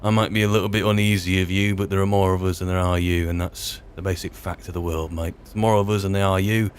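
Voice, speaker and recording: Low-pitched man muttering game roleplay. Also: level tone, fast talker, slight background noise. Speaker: gamer playing a character. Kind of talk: casual in-character roleplay commentary over gameplay.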